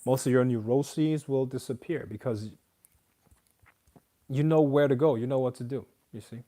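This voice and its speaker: Mellow-voiced man lecturing on goals. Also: mid-range voice, moderate pace. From outside America. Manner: reads questions aloud then lectures emphatically. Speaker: host answering viewer questions. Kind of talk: casual livestream Q&A.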